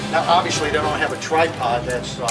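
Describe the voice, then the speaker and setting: A man's voice: a little high, a little fast. A middle-aged man gives an informal demonstration talk to a crowd at an outdoor reenactment. He explains plainly and often says "okay" and "uh". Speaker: living history reenactor presenter. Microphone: camera far from the speaker. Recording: distant echoey outdoor recording, loud music.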